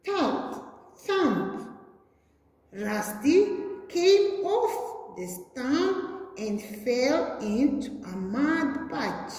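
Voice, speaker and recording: A young adult woman preschool teacher reading a story to small children in a deliberate, singsong way, recorded in a classroom. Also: sits high, sing-song, darkish tone. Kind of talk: children's read-aloud story lesson. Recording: very echoey hard-walled room.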